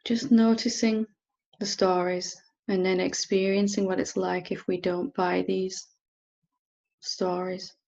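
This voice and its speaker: A woman teacher leading a guided meditation in a gentle, unhurried manner with long pauses. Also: mid-range voice, little pitch movement, creaky, dull audio. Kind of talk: guided meditation, softly instructing.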